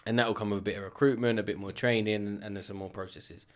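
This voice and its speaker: Chatty man in his thirties. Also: lowish voice. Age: 30s. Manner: chatty, animated storyteller with quick asides. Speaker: business owner guest. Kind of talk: casual podcast chat.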